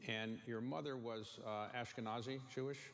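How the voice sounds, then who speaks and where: A man's voice: mid-range voice, fairly even intonation, moderate pace, a bit bright. A man interviewing a guest with blunt, probing short questions. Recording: faint noise in a long-reverb room.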